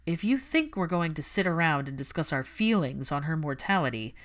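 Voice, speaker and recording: A guarded, scornful upper-class man played by a woman narrator speaks in a low register. His audiobook dialogue is clipped and formal, full of cool disdain. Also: very animated, brisk. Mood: scornful, challenging. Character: cold, guarded, contemptuous aristocratic young father.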